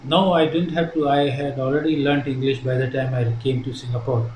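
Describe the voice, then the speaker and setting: An older Indian man, Hindi his first language, answers questions earnestly and haltingly, stopping often to restart his sentences. His pitch sits in the middle of the range. The recording is echoey, with a faint hiss behind him. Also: steady tone, a little mellow. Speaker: interviewee. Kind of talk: casual interview answers. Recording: echoey room with faint noise.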